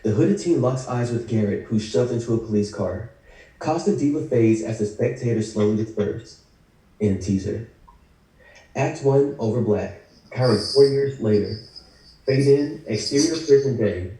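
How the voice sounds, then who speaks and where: Male script narrator, scripted action lines, very dark timbre, roomy and off-mic. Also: mid-range voice, animated, normal speed. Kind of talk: reading screenplay action lines at a table read. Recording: very echoey room, distant mic.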